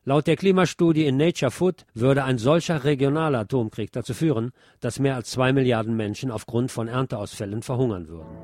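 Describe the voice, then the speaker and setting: A man's voice: mid-range voice, brisk, hardly any pauses, a little mellow, no echo. A man reading a scripted political commentary as a presenter.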